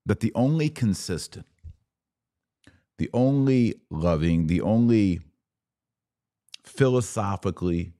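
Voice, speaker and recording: Deep-voiced American man, emphatic commentator. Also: darkish tone. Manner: emphatic, deliberate, repeating words for stress. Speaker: podcast host and political commentator. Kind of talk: opinion monologue to camera.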